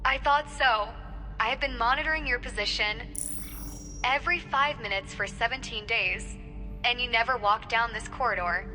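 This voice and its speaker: A woman's voice: on the high side, moderate pace. A woman playing a polite, eager colony computer intelligence that speaks in formal, precise, literal phrases. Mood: helpful, precise. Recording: long reverb, loud music behind. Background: music.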